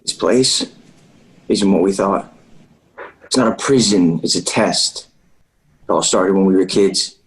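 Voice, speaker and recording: An actor playing an earnest, guilt-ridden young leader in a table read over a video call. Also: male voice, sits low, a little slow, pauses often, poor audio. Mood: grave, guilty. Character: earnest, guilt-ridden young man leading trapped boys. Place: remote video call reading.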